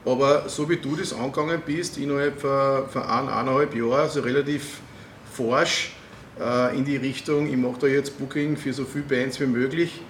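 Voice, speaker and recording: A middle-aged man with an Austrian accent, interviewing a guest in a hesitant, measured way with many fillers. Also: medium pitch, fairly even intonation, reverberant, slight background noise. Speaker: interviewer and music booker. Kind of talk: casual interview chat.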